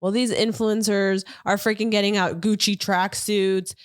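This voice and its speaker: A woman co-hosting a casual couple's podcast, telling stories in an animated way and laughing often. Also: mid-range voice, moderate pace, no echo, clean studio sound. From United States. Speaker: podcast co-host. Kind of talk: casual chat.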